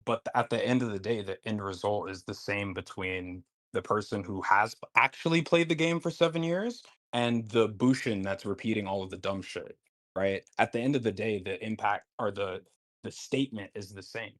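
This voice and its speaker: A man in a heated online debate, laying out his argument in a measured, explaining way. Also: on the low side, fairly bright tone.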